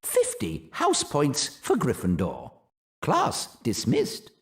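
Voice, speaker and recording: A woman's voice: very deep voice, wildly swooping pitch, slow, exaggerated voice. A woman playing a strict, formal older magic teacher who instructs precisely in a video game. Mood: formal, instructing.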